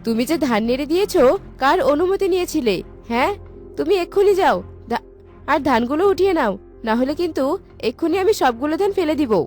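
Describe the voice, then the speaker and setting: A woman voicing a haughty, sharp-tongued young village wife in a cartoon, scolding and bossy. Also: high voice, lively intonation, fast talker. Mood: angry, scolding. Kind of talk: cartoon voice acting. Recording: music behind the voice.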